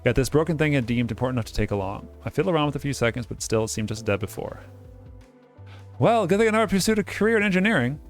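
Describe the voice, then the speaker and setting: A man's voice: mid-range voice, animated, rapid-fire, soft background music. A man hosting a game playthrough, reading the text aloud and breaking off for sardonic, casual commentary.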